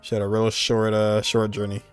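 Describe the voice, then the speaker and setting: A man's voice: fairly low pitch, monotone, moderate pace, faint music behind. A man giving casual, offhand live reaction commentary as a gaming streamer, in muttered asides.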